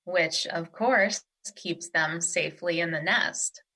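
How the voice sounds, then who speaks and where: A woman playing a wise, nurturing earth spirit in an audio drama, speaking in a formal, measured, reassuring way. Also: lowish voice, sharp tone, full character voice. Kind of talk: theatrical audio drama acting.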